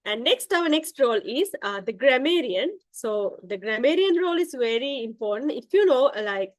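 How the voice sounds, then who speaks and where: A woman from Sri Lanka leads an online club meeting as its general evaluator. Her voice sits fairly high and sounds dry and close. She speaks in a friendly way, with some hesitant fillers. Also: expressive, normal speed, dry recording.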